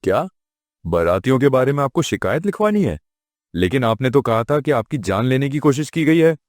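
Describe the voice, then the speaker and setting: A man's voice: medium pitch, sing-song, brisk, a little mellow, no room sound, studio quality, natural voice. A man playing a weary, exasperated village police station chief who grumbles sarcastically in a children's cartoon. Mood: taken aback. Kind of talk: children's cartoon moral story acting.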